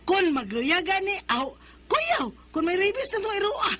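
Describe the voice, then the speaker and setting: An actress playing a blunt, scolding neighbor in a radio drama. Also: female voice, very high voice, very animated, normal speed, fairly bright tone, exaggerated voice. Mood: worried. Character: sharp-tongued woman confronting a neighbor.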